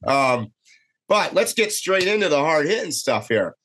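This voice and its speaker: A man's voice: fairly high pitch, a little slow. A man co-hosting a casual podcast while getting over a cold, opinionated and full of fillers. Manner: animated, opinionated, many fillers. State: has a cold. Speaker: podcast co-host. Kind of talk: casual podcast chat over video call.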